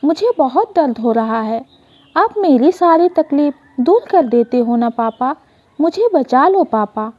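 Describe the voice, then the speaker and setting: A woman's voice: high-pitched, animated, measured pace, lo-fi sound. A woman voicing a frightened, injured young daughter pleading tearfully in an emotional story. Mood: terrified pleading.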